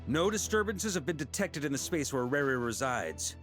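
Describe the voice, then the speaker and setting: An actor playing a seasoned, confident knight commander in a video game, explaining tactics in a measured, pragmatic way. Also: male voice, mid-range voice, very animated, fairly bright tone. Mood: briskly businesslike. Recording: music behind.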